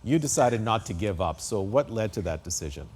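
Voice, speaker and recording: Male interview host, animated pitch, presenter style for narration and questions. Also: a little fast, husky.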